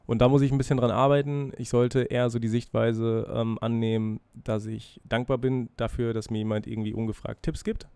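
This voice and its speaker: Ein erkälteter Mann spricht frei und nachdenklich in seinem Podcast, reflektiert über sich selbst, mit Zögern und Füllwörtern. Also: male voice, mid-range voice, flat delivery, a little fast, gravelly, hardly any pauses, mellow, clean tone, dry recording. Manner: freely spoken, musing, self-reflective with hesitations. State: has a cold, breathing heavily. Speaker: podcast host. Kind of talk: casual solo podcast monologue.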